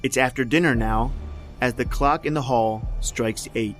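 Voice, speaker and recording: A man's voice: medium pitch, normal speed, fairly bright tone, soft background music. A man hosting and narrating an old-time radio horror show in a scripted storytelling style. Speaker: podcast host and narrator.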